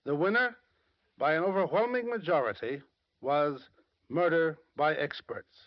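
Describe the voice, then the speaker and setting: A man's voice: a little high, measured pace, no room sound. Male award presenter, formal scripted delivery, dry but muffled vintage radio sound.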